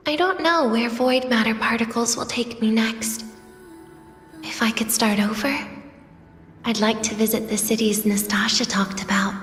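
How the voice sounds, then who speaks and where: An actress playing a wistful young student in a game drama, bitter yet tender, speaking softly and lingeringly, at times slipping into a whisper. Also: female voice, on the high side, close to an everyday voice. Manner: soft, slow, lingering, at times breaking into whispers. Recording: echoey long reverb with music behind.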